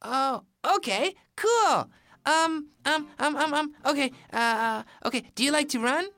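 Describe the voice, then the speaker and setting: A woman's voice: high voice, lively intonation, unhurried, a bit bright, voice pushed up, exaggerated voice. A woman voicing an eager, lonely young rabbit in a children's story, with a hesitant, childlike stammer.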